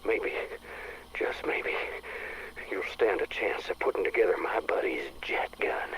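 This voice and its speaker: Battered, muttering cynical survivor. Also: male voice, on the low side, takes it slowly, a bit bright, whispered, poor audio. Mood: sardonic. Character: battered, cynical survivor leaving a last taped message. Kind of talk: game audio log.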